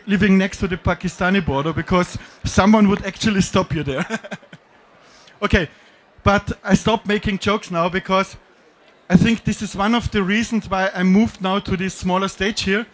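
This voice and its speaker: A middle-aged man from Austria with an Austrian German accent, giving a joking, self-deprecating talk on a conference stage with a handheld mic. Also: high voice. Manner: self-deprecating, jokey, with slightly non-native phrasing. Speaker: conference speaker. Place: dim conference stage with audience.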